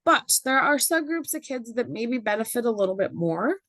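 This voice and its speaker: A slightly hoarse woman facilitating a parenting workshop, speaking chattily with fillers and frequent "right?" tags. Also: a little high, big pitch swings, moderate pace, fairly bright tone, slight background noise. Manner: chatty, with many fillers and tag questions. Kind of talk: informal workshop presentation. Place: meeting room with live audience.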